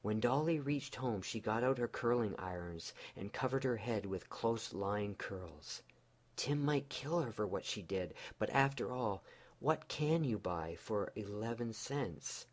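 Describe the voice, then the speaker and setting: A man narrating a bedtime story in a measured, mock-solemn way, phrase by phrase. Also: on the low side, expressive.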